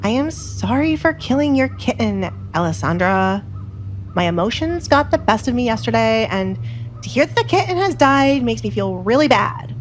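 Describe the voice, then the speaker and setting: A woman's voice: a little high, a little hiss or hum, voice pushed up, natural voice. A woman voicing a spoiled, scheming young noblewoman in an audio drama, sulky and falsely meek. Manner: sulky, put-on contrition.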